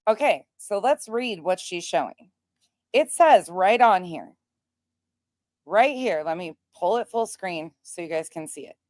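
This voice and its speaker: A woman's voice: on the low side, expressive, a little slow, darkish tone, dry recording. Emphatic American woman explaining, close dry sound. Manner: emphatic, explanatory, repeats points for stress. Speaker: host of online commentary show. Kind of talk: casual commentary, reading documents aloud.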